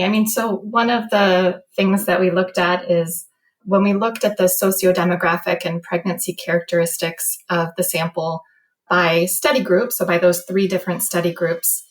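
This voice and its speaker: A woman researcher explaining study findings in an interview, in a measured and precise way. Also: fairly low pitch, rough recording. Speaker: medical researcher, study author.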